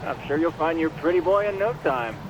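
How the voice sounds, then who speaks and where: Man voicing a nerdy game sidekick, raised pitch, keen, pleased with himself, clearly noisy surroundings. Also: lively intonation, a little fast, lightly acted. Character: eager, geeky male tech-savvy informant. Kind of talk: English video game dub.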